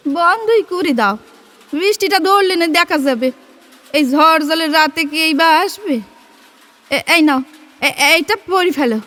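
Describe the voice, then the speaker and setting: Brusque, very high-voiced sheltering woman. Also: animated, a little slow, pauses often, a bit bright, full character voice. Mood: resigned, inviting. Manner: brusque, scolding, colloquial. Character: sharp-tongued but caring poor woman offering shelter. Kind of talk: Bengali audio play acting. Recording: faint background score behind the voice. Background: faint music.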